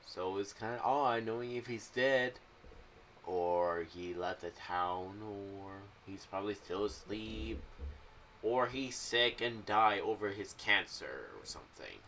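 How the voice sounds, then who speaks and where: Young male vlogger, fairly low voice, loose off-the-cuff commentary with halting phrasing, noisy home recording. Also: fairly bright tone. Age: young adult. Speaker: video creator sharing fan theories. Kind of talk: unscripted rambling commentary. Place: small room at home. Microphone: boom-arm microphone with pop filter, headphones.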